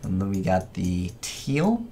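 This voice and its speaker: A man's voice: sits low, wildly swooping pitch, slow pace, warm tone, a little hiss or hum. A man hosting a gaming video with casual, informal commentary, rambling a little as he thinks aloud.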